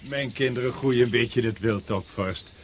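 A man's voice: medium pitch, moderate pace, a little hiss or hum, natural voice. An actor playing an indulgent older nobleman and father in a radio drama, affable, courteous and slightly bustling. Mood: affably proud. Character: genial, indulgent older count, fatherly and hospitable.

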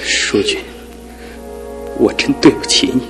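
An actor in a radio drama playing a despairing young man crippled in one leg, his talk swinging from hushed to anguished outbursts. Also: slow pace, music in the background, lightly acted. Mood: remorseful. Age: young adult. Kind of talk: broadcast radio drama acting.

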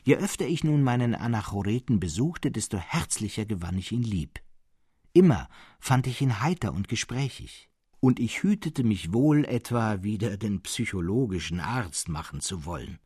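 An actor playing a thoughtful storyteller fascinated by madness, narrating a literary radio drama in a solemn, deliberate manner. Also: male voice, fairly low pitch, big pitch swings, darkish tone, slightly rough, dry recording, studio quality. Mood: admiring. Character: thoughtful male storyteller Cyprian, fascinated by madmen.

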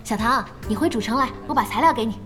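A woman playing a feisty, excitable young guild boss in an audio drama, blunt and expressive. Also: fairly high pitch, fast, soft background music, natural voice. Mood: relieved teasing.

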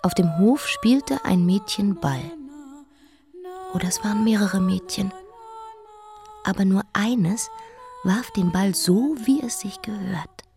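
A young queen narrates her life in a radio play. She is defiant and speaks at a medium pitch, with faint music behind her. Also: animated, slow pace, vocal fry, long pauses, a little mellow. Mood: reflective.

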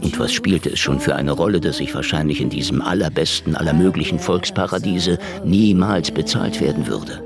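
A man's voice: very deep voice, normal speed, few pauses. An actor playing a broke, hard-boiled private detective in a noir radio drama, dry and laconic in his wisecracks. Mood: wry, brooding. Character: broke, sardonic hard-boiled Moscow private eye, male. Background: music.

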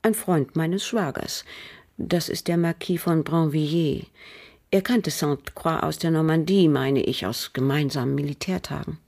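An actress playing a bitter, cold aristocratic French widow in a radio crime drama, speaking formally and guardedly with a husky, breathy voice. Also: female voice, low voice, a little mellow, full character voice. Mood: hesitant, distrustful. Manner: measured, guarded, formal, with pauses. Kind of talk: German radio drama acting.

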